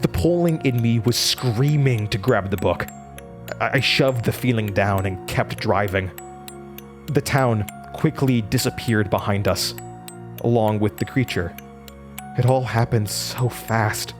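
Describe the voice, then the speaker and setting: A man's voice: medium pitch, measured pace, close to an everyday voice. A man playing a shaken young college student, narrating a horror audio drama in a dramatic, haunted way that stammers under stress. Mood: haunted, sombre. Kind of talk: horror audio drama first-person narration, acting out dialogue. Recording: music bed under the voice. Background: music.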